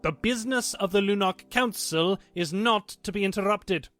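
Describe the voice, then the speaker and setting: A man's voice: high-pitched, moderate pace, fairly bright tone, soft background music. A man playing a smug, simpering bishop in a fantasy audio drama, pompous and condescending. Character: smug, simpering male bishop, scheming council leader.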